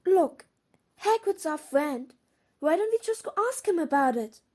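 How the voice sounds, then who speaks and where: A woman playing an earnest, quick-thinking schoolgirl in an audio drama, speaking emphatically. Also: high voice, lively intonation, a little mellow. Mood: insistent, worried. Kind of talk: fan audio drama acting.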